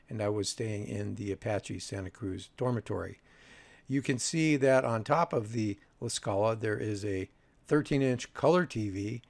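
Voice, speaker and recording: A man in his sixties narrating old photographs in a measured, reminiscing way with dry humour. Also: medium pitch, vocal fry, no echo. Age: 60s. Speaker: video host, audio reviewer. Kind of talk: narration over a photo slideshow.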